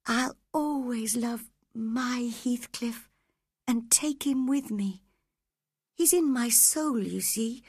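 Brief: woman reader doing a frail dying young woman, breathy, slow, pleading. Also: fairly high pitch, darkish tone, no room sound, studio quality, voice pushed up, full character voice. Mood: wistful. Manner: breathy, pleading, often whispered. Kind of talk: audiobook character reading.

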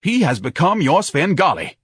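A man's voice: fairly high pitch, sing-song, moderate pace, fairly bright tone, husky, no room sound, clean studio sound, pitched above the performer's own voice, full character voice. A man voicing a haughty, self-pitying grown son who declaims in grandiose, over-articulate phrases, as an audiobook character. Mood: outraged.

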